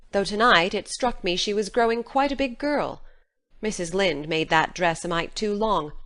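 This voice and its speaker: A woman's voice: lowish voice, a bit bright. A woman playing a stern, practical older farm woman, matter-of-fact and reserved, in an audio drama.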